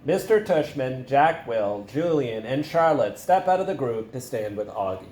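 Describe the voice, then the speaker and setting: A man's voice: medium pitch, lively intonation, moderate pace, darkish tone. A man acting as narrator, reading out stage directions for a readers theater play. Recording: echoey room with faint background noise.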